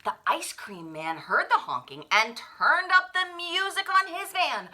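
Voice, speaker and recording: A woman's voice: extremely high pitch, animated, unhurried, sharp tone. A woman reading a children's picture book aloud in a theatrical way, drawing out the refrains. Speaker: children's story reader at a library.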